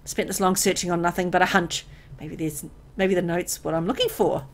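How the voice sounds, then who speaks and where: A woman reading a horror visual novel aloud as dramatic, expressive narration, as host of a gameplay video. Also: lowish voice, brisk.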